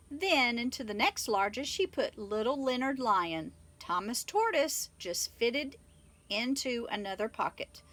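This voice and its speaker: A woman reading a children's story aloud in expressive scripted narration. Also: a little high. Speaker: storybook reader.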